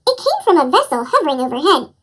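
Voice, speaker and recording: A narrator reading a children's picture book aloud in an animated way, with a squeaky, childlike chipmunk voice effect. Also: fast talker, noisy background. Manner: animated storytelling. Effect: chipmunk voice pitch-up effect. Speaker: storybook narrator.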